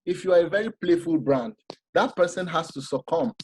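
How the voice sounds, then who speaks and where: A man giving an informal masterclass talk, animated and explanatory, often restarting his sentences. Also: a little high, fairly quick, slight background noise. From Nigeria. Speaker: masterclass speaker.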